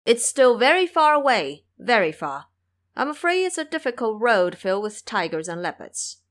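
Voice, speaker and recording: A woman voicing a serene old male Buddhist master in an audio drama, speaking in measured, chant-like, cryptic phrases. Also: fairly high pitch, very animated, a bit bright. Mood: calm, cautioning.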